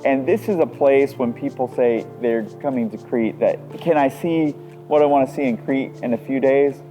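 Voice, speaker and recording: A man's voice: mid-range voice, moderate pace, warm tone, soft background music, rough recording. A man from the United States chatting casually in a travel vlog, talking easily and conversationally, recorded outdoors at a harbor. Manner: easygoing, conversational, loose with fillers. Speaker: vlogger.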